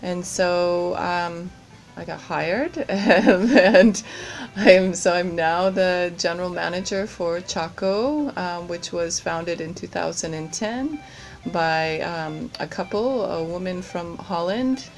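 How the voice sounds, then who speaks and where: A woman with a fairly low voice answers interview questions at an unhurried pace. She stops often, restarts her sentences and fills the gaps with ums. Soft music plays faintly behind her. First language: English. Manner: hesitant, many fillers and restarts. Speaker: course participant, general manager.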